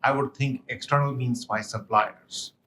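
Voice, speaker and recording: A man in the audience asking direct questions at a workshop. He speaks loudly and gets straight to the point. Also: mid-range voice, steady tone, measured pace, very noisy. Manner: loud, direct. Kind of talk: conference question.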